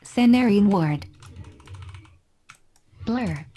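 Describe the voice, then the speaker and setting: A synthetic woman's voice calling out short spell names one after another in a flat, automated delivery. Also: mid-range voice, drawn-out words. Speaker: game addon announcer. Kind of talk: clipped automated spell callouts.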